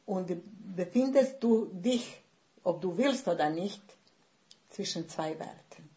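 A woman in her sixties from Bosnia, a writer speaking German with a Bosnian accent as a podcast guest, pausing often and searching for words. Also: fairly low pitch, expressive, dark tone, dull audio. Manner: hesitant, searching for words, many fillers. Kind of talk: podcast conversation.

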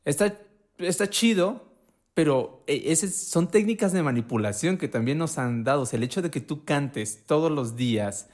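A man with a Mexican accent chatting casually as a podcast co-host, swearing often and acting out quotes in an animated way. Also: a little high, normal speed. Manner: slangy, profane and animated, acts out quotes. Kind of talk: casual conversation.

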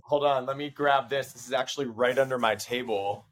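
A young man, hoarse from laryngitis and a bit deeper than usual, telling animated stories in a casual interview and acting out his own quotes. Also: brisk, slight background noise. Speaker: singer-songwriter guest.

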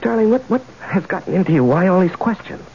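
An actor playing an ambitious, impatient corporate husband in a radio drama. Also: male voice, high voice, lively intonation, quick pace, vocal fry, darkish tone. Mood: exasperated. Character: ambitious corporate husband, eager, deferential to bosses, impatient at home. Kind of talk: 1970s radio drama acting. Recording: dull, noisy old radio drama recording.

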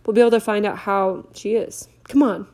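A woman voicing a sensible teenage schoolgirl witch who speaks gently and calmly, in a storybook reading. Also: medium pitch, fairly quick, close to an everyday voice. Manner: gentle, calm.

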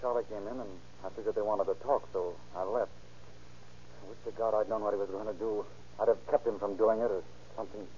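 Casting: male actor for shaken, bitter witness, low mellow voice, earnest hesitations, radio drama. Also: steady tone, quick pace, lightly acted. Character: upset, bitter friend of a shot young woman. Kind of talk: 1930s-40s radio crime drama acting. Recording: dull old radio recording, faint noise.

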